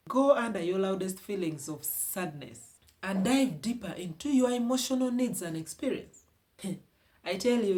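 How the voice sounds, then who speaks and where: A woman with a Ugandan accent giving earnest heart-to-heart advice to her viewers, often repeating phrases for emphasis. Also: fairly low pitch. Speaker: vlogger giving relationship advice. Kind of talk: casual advice talk to camera.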